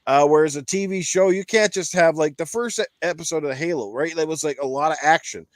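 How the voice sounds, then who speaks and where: A man's voice: a little high, fairly quick, dry recording, studio quality. A man hosting a casual panel chat, giving rambling opinions with emphatic repetition. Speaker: podcast panel host. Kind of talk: casual opinion chat about games and films.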